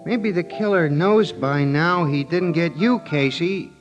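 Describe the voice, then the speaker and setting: A man's voice: on the high side, unhurried, muffled recording, natural voice. An actor playing a nervous, simple working-class man in 1940s radio drama, with hesitant, ungrammatical slangy talk. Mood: puzzled. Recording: old radio show with music.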